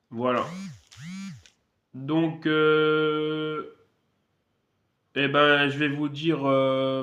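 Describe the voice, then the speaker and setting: A man with a cold giving relaxed, rambling commentary while playing a mobile strategy game, with many fillers and restarts. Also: medium pitch, roomy sound. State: has a cold. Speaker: gaming channel host. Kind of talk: casual gameplay commentary.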